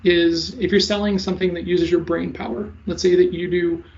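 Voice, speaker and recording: A high-voiced man in his thirties talks fast and stutters now and then as he answers interview questions about coaching. His tone stays flat with a gravelly edge. The audio is a bit dull and lo-fi. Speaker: sales coach and guest.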